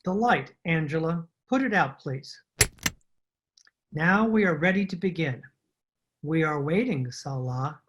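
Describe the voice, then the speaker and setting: A man playing a formally polite, quietly sinister séance host in an old-time radio drama, over distant-sounding call audio. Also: fairly high pitch, lively intonation, measured pace, long pauses, background noise. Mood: solemn. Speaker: amateur radio drama player.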